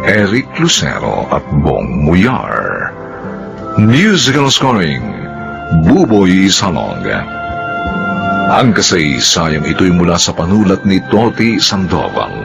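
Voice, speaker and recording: A man's voice: low voice, creaky. A man announcing a radio drama and its credits in a dramatic, sweeping delivery. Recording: theme music under voice.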